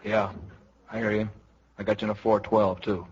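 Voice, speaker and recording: An actor playing a deadpan knight who talks like a clipped, polite police detective in a 1950s radio parody. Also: male voice, lowish voice, moderate pace, lightly acted. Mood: deadpan, businesslike. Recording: dull, very noisy 1950s radio recording.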